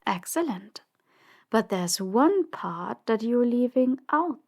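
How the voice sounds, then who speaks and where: A woman playing a cold, ruthless crime boss in an audio drama, commanding and dismissive in a measured way. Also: fairly high pitch, big pitch swings, full character voice. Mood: cool, probing. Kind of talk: audio drama roleplay acting.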